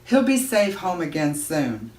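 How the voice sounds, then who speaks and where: A woman voicing a kind, steady uncle in a storybook read-aloud, with a gentle, murmuring manner. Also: sits low, unhurried. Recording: roomy echo, distant microphone.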